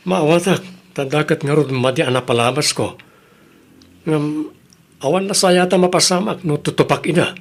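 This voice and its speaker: A man's voice: fairly high pitch, moderate pace, long pauses, natural voice. A man with a Filipino accent playing an aggrieved, earnest young grandson with a prison past in an audio drama. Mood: hurt, restrained. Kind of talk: audio drama acting in Ilocano.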